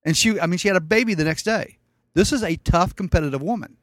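A man's voice: high-pitched, fast, no echo, studio quality. Blunt, wry middle-aged man. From Colombia. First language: Spanish. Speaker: guest. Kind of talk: casual storytelling.

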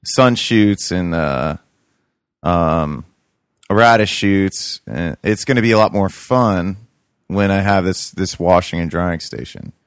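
A man's voice: sits low, slow pace, smooth, no echo, studio quality. A young man chatting casually about his urban farm, rambling with lots of fillers and restarts. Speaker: podcast co-host, urban farmer. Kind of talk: casual chat.

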